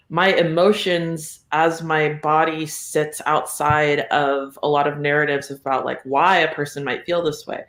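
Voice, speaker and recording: A podcast guest with a mid-pitched voice, talking casually and reflectively in a meandering, thoughtful way with a readiness to chuckle. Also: fairly bright tone. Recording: roomy, echoey sound.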